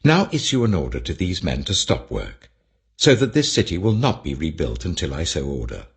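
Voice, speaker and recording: Ancient monarch for scripture audiobook, voiced by a male narrator: British, deep, commanding, formal, highly inflected. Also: very animated, moderate pace, gravelly, full character voice. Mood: stern command. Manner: imperious, formal. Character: stern, commanding Persian king issuing decree.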